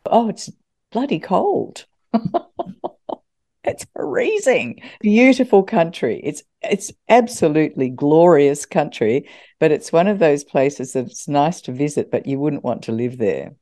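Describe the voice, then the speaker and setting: Mellow-voiced older woman telling stories. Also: deep voice, sing-song, measured pace. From Scotland. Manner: chatty, laughs often, self-deprecating. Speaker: guest, former radio talk host. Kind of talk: interview, anecdotal storytelling.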